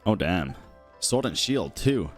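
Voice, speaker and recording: Male game streamer, unhurried but lively intonation, wry slangy swearing, faint music behind. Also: fairly low pitch. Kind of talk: casual live gameplay commentary.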